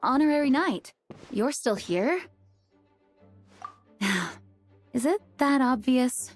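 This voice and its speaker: A woman's voice: high-pitched, animated, slow, soft background music, exaggerated voice. A woman playing a dutiful, slightly weary knight commander in an anime game, polite, formal and composed.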